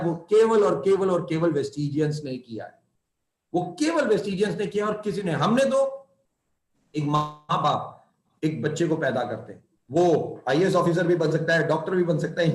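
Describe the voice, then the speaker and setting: A middle-aged man giving an earnest motivational talk to distributors, stressing points by repeating phrases. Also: sits high. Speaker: company executive speaking to distributors. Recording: echoey room.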